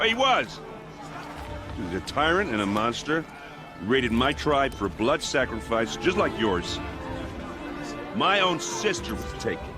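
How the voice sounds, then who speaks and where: A blunt, colloquial tribesman, pleads with a hostile crowd in an animated, swinging voice that sits a little high. Game music plays underneath this video game scene. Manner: plainspoken, colloquial, earnest pleading. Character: blunt, friendly adult man from a foreign tribe. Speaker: envoy speaking to a crowd.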